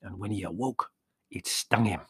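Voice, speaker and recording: A man's voice: medium pitch, very animated, breathy edge, dry recording. A man doing a toothless old village shepherd. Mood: storytelling. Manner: slow, dramatic, relishing gruesome detail. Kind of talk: audiobook character voice.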